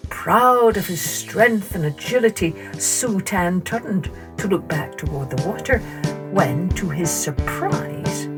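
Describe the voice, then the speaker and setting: A woman narrating scripted children's folktales as a storyteller, in an expressive, measured way. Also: on the low side. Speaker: storyteller, podcast host.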